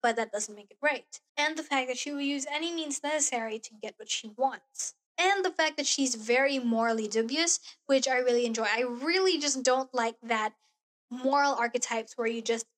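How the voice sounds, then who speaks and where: A young woman vlogging in a small bedroom into a close studio mic, talking earnestly about a show with a hoarse voice. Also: high voice, moderate pace, fairly bright tone. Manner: gushing, earnest, gestures while talking. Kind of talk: casual review vlog. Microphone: studio mic on boom arm, close.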